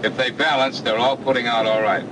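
An actor playing an experienced, practical flight instructor in a 1940s training film, giving brisk, commanding instructions. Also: male voice, mid-range voice, steady tone, noisy background, natural voice. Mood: instructive. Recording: old film soundtrack, loud cockpit engine drone.

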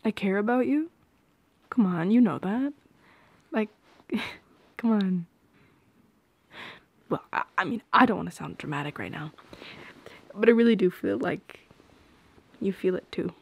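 Soft, slow-talking young woman, ASMR roleplay. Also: medium pitch, expressive, creaky, slightly rough, no room sound, highs cut off. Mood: tender, shy. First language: Polish. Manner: soft-spoken, hesitant, often dropping into whispers. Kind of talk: intimate ASMR roleplay acting. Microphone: close microphone.

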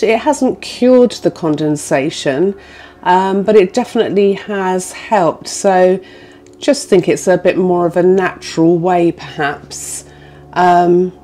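A chatty woman in her forties talks to a phone camera held at arm's length inside a small cabin, with plenty of ums. Her voice sits low for a woman. Faint music plays under her. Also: warm tone. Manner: chatty, frequent ums, laughs often. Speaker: vlogger. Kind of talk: casual vlog talk to camera. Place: inside a narrowboat, small room.

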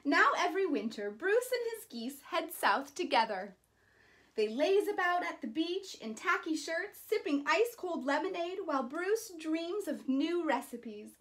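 A woman in her thirties reading a children's picture book aloud in an animated, deliberate way with dramatic emphasis. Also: extremely high pitch. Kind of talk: expressive children's story reading.

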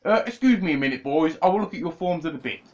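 A man doing a brisk, formal railway boss. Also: a little high, animated, highs cut off, natural voice. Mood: businesslike. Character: polite older male railway boss.

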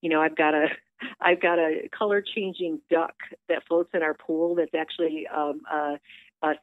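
A woman with a low voice, talking chattily on a phone call into a radio show, upbeat, with lots of fillers. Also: steady tone, moderate pace. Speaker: theater director calling in. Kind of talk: casual phone interview. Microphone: telephone. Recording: tinny phone line.

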